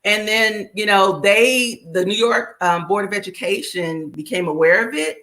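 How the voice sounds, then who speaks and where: A middle-aged woman, a children's book author, talking casually as a guest, reflective and relaxed with many fillers. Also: mid-range voice, moderate pace, rough recording. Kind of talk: casual conversation.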